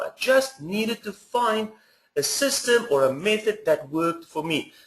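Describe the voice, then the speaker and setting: A man in his thirties from South Africa with a South African accent, hosting a webinar in an earnest, persuasive way with pauses to think. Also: sits high, a bit bright, roomy sound, low-quality recording. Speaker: webinar host. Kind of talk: webinar presentation.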